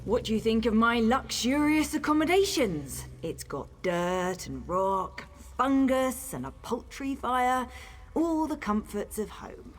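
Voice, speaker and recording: A woman's voice: on the high side, sing-song, measured pace. A woman playing a wary, sardonic young fugitive in a fantasy video game, with a wry and feisty manner. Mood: sarcastic, curious. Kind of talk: video game character dialogue.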